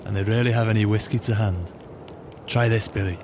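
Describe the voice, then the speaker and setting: An actor playing a cold, calculating swindler with a wry, scheming manner in an audio play. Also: male voice, on the low side, steady tone, fairly quick, noisy background, natural voice. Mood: feigned friendliness. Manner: wry, scheming, colourful phrasing. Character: cold, calculating male swindler plotting murder.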